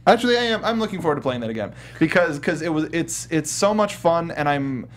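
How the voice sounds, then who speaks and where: A man's voice: a little high, lively intonation, fast talker. A man hosting a casual gaming show from a studio, talking in a rambling, hesitant, enthusiastic way. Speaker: show host.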